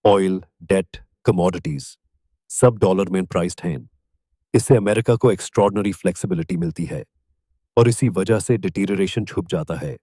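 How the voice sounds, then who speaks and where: A man with an Indian accent narrates a documentary from a script. His voice sits on the low side with a dark, mellow tone. The delivery is measured and declarative, landing on short emphatic phrases, and the sound is close and dry. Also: husky, dry recording.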